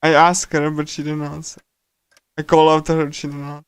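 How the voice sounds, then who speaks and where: Male streamer, smooth clean tone, close dry sound, casual commentary and stumbling read-aloud with repeated words. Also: a little high, completely flat delivery, no room sound. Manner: halting, repeats and stumbles while reading. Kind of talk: casual game livestream commentary and reading aloud. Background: game music.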